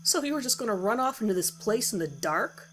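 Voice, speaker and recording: A woman voicing an excited, eager male friend in a horror story narration. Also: on the high side, fairly quick, a little hiss or hum. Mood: teasing. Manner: eager, urging. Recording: music bed under the voice.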